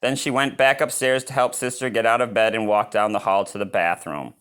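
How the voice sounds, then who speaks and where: A man's voice: fairly low pitch, fairly quick, clear, resonant tone. Man reading a children's storybook aloud. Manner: expressive, exclaiming in asides. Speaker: storybook reader. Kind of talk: children's read-aloud with spontaneous asides.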